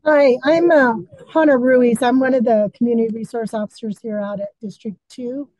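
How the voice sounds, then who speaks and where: Mellow, higher-voiced woman from Denver. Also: fairly even intonation, normal speed, no echo, rough recording. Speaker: community resource officer.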